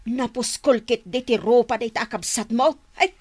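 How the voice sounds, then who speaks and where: A woman's voice: on the high side, moderate pace, natural voice. A woman playing a resentful, complaining wife in a radio drama, grumbling and reproachful, with an Ilocano accent. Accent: Ilocano, northern Philippines.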